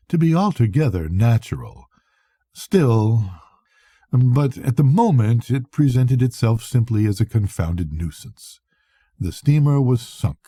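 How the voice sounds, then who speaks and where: An older man reads a classic novel aloud as a storyteller, in a measured, reflective bedtime manner with a soft, husky voice. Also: fairly low pitch, no echo, studio quality. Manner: measured, reflective first-person narration. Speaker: storyteller reading to grandchildren. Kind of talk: expressive bedtime audiobook reading of a classic novel.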